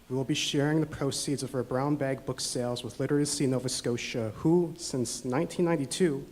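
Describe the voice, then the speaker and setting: A man hosting a book awards ceremony, giving steady, scripted remarks read from notes. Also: medium pitch. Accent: Canadian.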